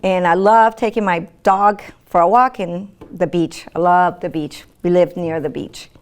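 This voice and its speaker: A woman's voice: lowish voice, steady tone, measured pace, smooth. Older Puerto Rican woman teacher. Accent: Puerto Rican Spanish. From Puerto Rico. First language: Spanish. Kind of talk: interview answers.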